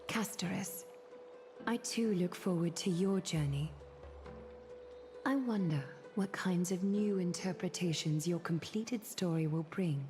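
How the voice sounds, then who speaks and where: A commanding, composed noblewoman of a fantasy game, her voice on the low side. She speaks with formal poise and deliberate phrasing, as one who knows more than she says, over faint music. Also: a little mellow. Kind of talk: video game story acting.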